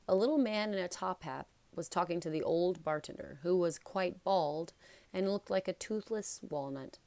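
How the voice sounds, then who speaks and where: Low, creaky-voiced woman reading a story. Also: normal speed, mellow, dry recording. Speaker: storybook narrator. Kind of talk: read-aloud narration of a children's novel.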